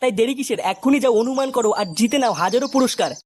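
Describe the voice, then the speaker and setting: A man's voice: very high-pitched, racing, background noise. A man reading scripted promotional narration as an advertising narrator.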